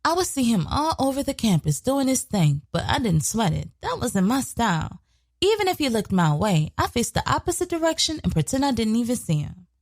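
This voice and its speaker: A woman's voice: fairly high pitch, big pitch swings, fairly quick, a little mellow, no echo. A woman playing a sly, brash young woman killer in an audio drama, telling her story in a sassy, profane, colloquial way. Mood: boastful, dismissive. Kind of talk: scripted first-person narration, audio drama.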